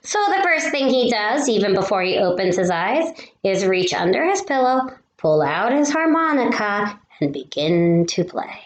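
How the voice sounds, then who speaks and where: Female read-aloud storyteller for children: lively intonation, unhurried delivery, drawn-out emphasis, roomy recording. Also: medium pitch. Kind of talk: expressive children's storybook reading. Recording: roomy echo with faint noise.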